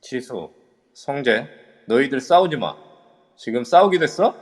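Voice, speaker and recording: A man's voice: mid-range voice, animated, a little slow, natural voice. A man voicing a determined young hero who speaks earnestly and flares up in an amateur audio drama. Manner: earnest, heated. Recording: long reverb.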